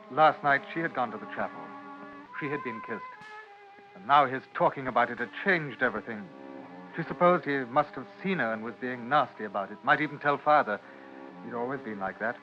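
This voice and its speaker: Man as solemn old-time radio narrator, measured, literary, mid pitch, muffled recording. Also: close to an everyday voice. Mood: reflective. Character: grave, literary omniscient male storyteller. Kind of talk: scripted radio drama narration. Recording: dull old radio recording, faint music.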